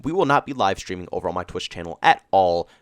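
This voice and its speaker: A young man talks through a gaming commentary with a stuffed-up, congested voice. He speaks fast, and his pitch swings widely as his emphatic rant builds. The recording is close and dry. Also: clean tone, dry recording. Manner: emphatic, ranting, builds to excited peaks. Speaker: gaming YouTuber.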